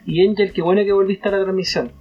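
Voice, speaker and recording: A man's voice: high voice, fairly even intonation, quick pace, highs cut off, soft background music. A man co-hosting an interview show in a casual, informal, joking style.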